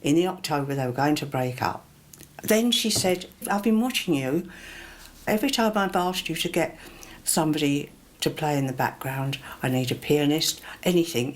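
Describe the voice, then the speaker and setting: Woman recounting anecdotes, lowish voice. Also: animated, moderate pace. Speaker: former personal assistant. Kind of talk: anecdotal interview recollection.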